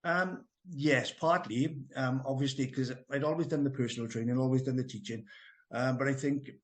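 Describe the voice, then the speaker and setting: A man's voice: mid-range voice, steady tone, normal speed. A mature man with a South Wales accent talks as an interview guest in a mid-range voice. He explains his own story conversationally, rambling along with enthusiasm. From Merthyr Tydfil, Wales. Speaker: interview guest, health business owner and trainer. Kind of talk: conversational personal account.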